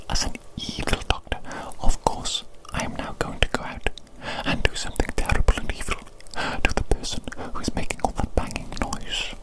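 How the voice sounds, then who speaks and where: An evil doctor played by an ASMR performer speaks entirely in a breathy whisper. The phrasing is formal, deliberate and repetitive, doting on a creature patient with a vengeful streak underneath. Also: natural voice, low-quality recording. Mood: gleefully vengeful. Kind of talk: whispered ASMR medical roleplay.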